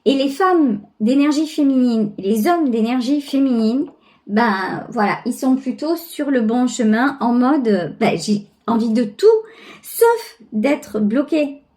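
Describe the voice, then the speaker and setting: High-pitched, slow-paced woman reading tarot. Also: a little mellow. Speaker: tarot reader, channel host. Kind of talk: intuitive tarot reading, spoken directly to the viewer.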